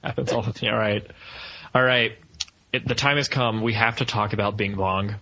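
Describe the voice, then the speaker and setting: Male podcast co-host, bright, forceful voice, casual and jokey review banter. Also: lowish voice, steady tone, normal speed, poor audio. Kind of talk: casual film review chat.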